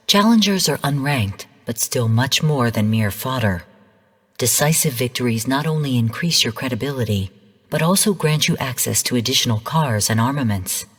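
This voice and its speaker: An actress playing a female artificial intelligence mentor in a video game, delivering mission briefings in a calm, stern, measured and formal way. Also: very deep voice, husky, big-room sound, full character voice. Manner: measured, formal, cool, precise. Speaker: game guide and mission narrator.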